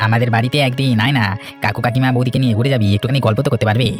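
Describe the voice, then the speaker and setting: A man's voice: mid-range voice, fairly even intonation, racing, soft background music, lightly acted. A man playing a concerned, friendly young pal in a cartoon, with an inquisitive manner. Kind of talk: cartoon voice acting, domestic moral story.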